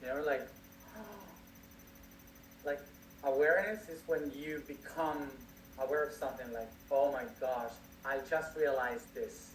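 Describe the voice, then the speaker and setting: A man answering audience questions as a spiritual teacher, speaking slowly with many restarts and fillers. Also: medium pitch, slight background noise. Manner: slow, searching speech with many restarts and fillers. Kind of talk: live Q&A, unscripted. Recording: echoey room, distant mic, faint crickets.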